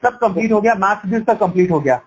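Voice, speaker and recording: High-pitched man making a persuasive sales pitch. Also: expressive, fast. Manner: persuasive, explanatory, frequent "right?" tag questions; switches between Hindi and English. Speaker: education sales counselor. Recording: noisy sales call recording.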